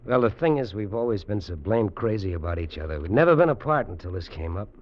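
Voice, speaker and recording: A man's voice: lowish voice, expressive, quick pace. An actor playing a lonely fugitive man longing for his wife, speaking earnestly and wistfully, in 1940s radio drama style. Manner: earnest, wistful and soft-spoken. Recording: dull old radio recording, faint hiss.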